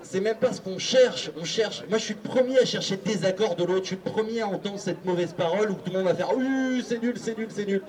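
A man's voice: high-pitched, fast talker, hardly any pauses, darkish tone, distant mic. A man giving an earnest, repetitive speech to an open-air assembly under a tarp. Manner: earnest, repeats himself. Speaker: speaker at a public assembly. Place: outdoor square under a tarp.

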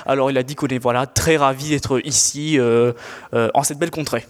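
A man's voice: medium pitch, fairly even intonation, a little fast, close to an everyday voice. A teenage boy playing a loyal, plain-spoken squire in a comic audio drama, hesitant and matter-of-fact. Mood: helpful. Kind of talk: comic audio fiction acting. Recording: echoey hall reverb.

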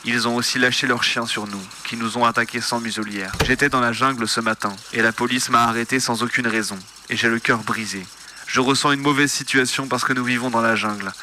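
A man reading written testimonies aloud in a flat, steady way. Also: mid-range voice, brisk, slight background noise.

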